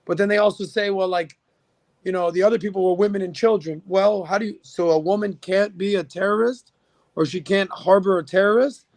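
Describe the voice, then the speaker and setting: A man's voice: sits high, fairly even intonation, a little fast, darkish tone, no echo. A man in a casual podcast chat, giving firm opinions backed by figures, with lots of fillers and restarts. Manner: opinionated, argues with numbers, many fillers. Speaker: podcast guest.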